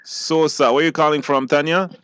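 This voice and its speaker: A man's voice: a little high, steady tone, brisk, fairly bright tone. A Belizean man hosting a live radio call-in quiz in a casual, chatty style. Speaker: radio show host.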